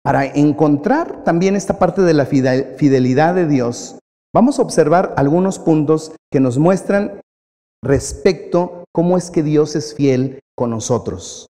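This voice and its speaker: A man's voice: a little high, animated, measured pace, mellow. Man preaching in an echoing hall. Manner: conversational preaching with repeated phrases. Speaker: pastor. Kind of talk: sermon. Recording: long hall reverb.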